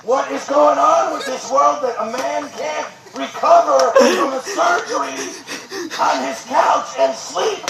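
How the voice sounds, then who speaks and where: Loud high-pitched ranting man, very noisy recording. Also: measured pace, gravelly, reverberant, distant mic. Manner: loud, indignant, theatrical, often laughing. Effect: bullhorn amplification at times. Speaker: resident confronting noisy tree workers. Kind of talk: shouted bullhorn rants and casual chat. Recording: very noisy amateur home video. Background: loud wood chipper noise.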